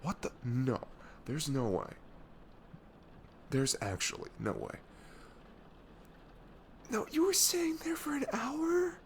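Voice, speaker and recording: A young man playing a caring, protective best friend in an intimate ASMR audio roleplay, talking in a halting, soothing, earnest way that slips into whispers. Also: high voice, wildly swooping pitch, slight background noise, heavily acted. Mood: shocked, indignant.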